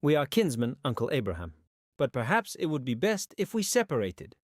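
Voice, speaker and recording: A man's voice: mid-range voice, very animated, a little fast, no room sound, clean studio sound. An actor playing a cautious, respectful younger kinsman in an audio Bible drama, speaking earnestly. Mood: conciliatory.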